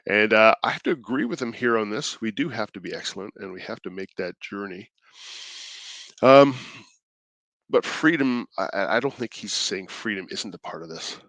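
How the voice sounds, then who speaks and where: A man with a stuffed-up cold voice, hosting his own channel in casual, halting commentary, mild-mannered and thinking aloud. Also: on the low side, lively intonation, a bit bright, no echo. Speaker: indie creator and channel host.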